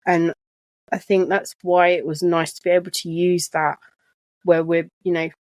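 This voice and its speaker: A soft-spoken woman co-hosting a chatty podcast from her sofa at home, talking with frequent restarts. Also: on the low side, flat delivery, moderate pace, no echo, studio quality. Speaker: podcast co-host. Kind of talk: casual chat. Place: living room sofa at home. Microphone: shared desk microphone.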